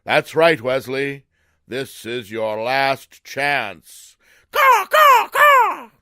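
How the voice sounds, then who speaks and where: A man playing a stern, self-assured super sleuth with a commanding, deliberate delivery in a radio mystery. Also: mid-range voice, huge pitch swings, bright, forceful tone, exaggerated voice. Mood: cawing.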